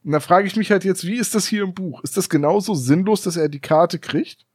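Male co-host, sarcastic questioner, higher voice, dry sound. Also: slightly rough, no room sound. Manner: sarcastic, quick to joke and question. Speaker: podcast co-host. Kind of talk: casual chat.

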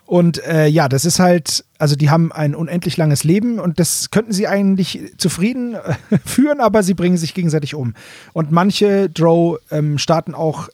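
A man's voice: on the high side, moderate pace, a little mellow, no echo, clean studio sound. A man co-hosting a podcast, explaining casually and repeating words for emphasis. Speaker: podcast co-host.

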